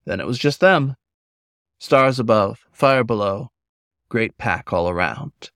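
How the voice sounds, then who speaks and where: A man reading his own fiction as a narrator, in a measured, literary way. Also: lowish voice, animated, a bit bright, dry recording, clean studio sound. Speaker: author reading own story. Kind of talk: scripted story narration.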